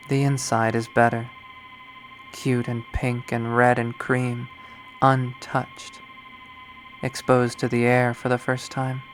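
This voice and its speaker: A man's voice: medium pitch, lightly acted, rough recording. Man reading as a jaded, unhinged young woman over music. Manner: bitter, profane, rambling monologue. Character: jaded, unhinged young woman artist narrating a violent act. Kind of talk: dramatic visual novel readthrough.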